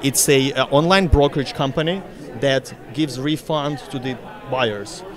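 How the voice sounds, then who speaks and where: A man's voice: mid-range voice, a little slow. A young man from Russia with a Russian accent, chatting as a guest in an interview and filling his speech with "you know". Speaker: interviewee, real estate broker. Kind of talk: casual interview.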